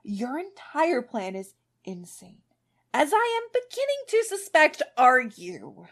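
A woman reading the part of a sarcastic, exasperated man in a story who is barely keeping calm, with emphatic delivery. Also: a little high, normal speed, close to an everyday voice. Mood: strained calm.

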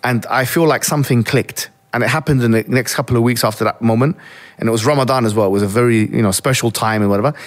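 A man's voice: medium pitch, fast. A young man, originally from Egypt, earnestly reflecting as an interview guest, often restarting his sentences. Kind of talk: interview conversation.

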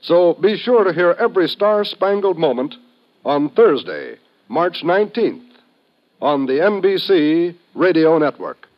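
An American man announces in classic 1950s radio style. His voice is fairly high and emphatic, with showy phrasing, on a slightly muffled old recording. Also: sing-song, unhurried.

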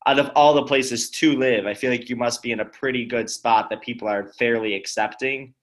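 A man from upstate New York hosting a casual conversation, relaxed and asking questions. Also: medium pitch, fairly quick.

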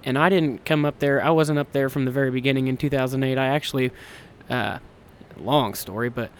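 A man's voice: fairly even intonation, brisk, clear, resonant tone. A man in his twenties from Kansas, a hunting ranch owner chatting casually in a podcast interview, rambling and self-deprecating with lots of restarts. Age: 20s. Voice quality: high-pitched voice.

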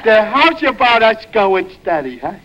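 An actor playing a dim-witted, bashful oaf with a halting, hesitant delivery in a 1940s radio comedy. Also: male voice, high voice, very animated. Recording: muffled, noisy old radio recording.

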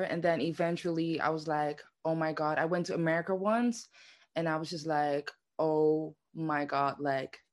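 A woman in her twenties from the Netherlands, a dancer chatting casually as the guest of an interview. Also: deep voice. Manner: chatty, says "like" a lot.

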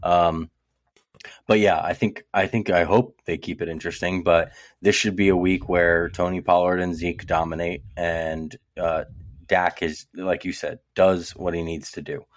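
Deep-voiced man chatting football. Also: a little slow, no room sound. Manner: relaxed, conversational, lots of fillers. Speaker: podcast co-host. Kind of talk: casual sports talk.

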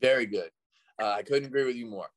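A man, a speaking educator, chatting as a podcast guest in a relaxed, agreeable way. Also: medium pitch, quick pace. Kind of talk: casual interview chat.

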